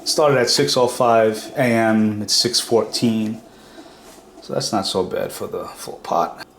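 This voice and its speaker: A man's voice: lowish voice, slow pace, gravelly, a little hiss or hum. Man with a stuffy nasal cold. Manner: plain step-by-step explaining with fillers. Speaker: product demo host. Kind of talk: casual how-to demonstration. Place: reverberant room at home.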